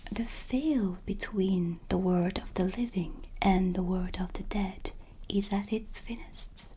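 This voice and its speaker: Woman playing a slow, whispering kindly witch. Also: mid-range voice. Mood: warm, confiding. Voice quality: breathy, whispery soft voice. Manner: gentle, unhurried, confiding. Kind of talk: ASMR cinematic roleplay. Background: ambient music.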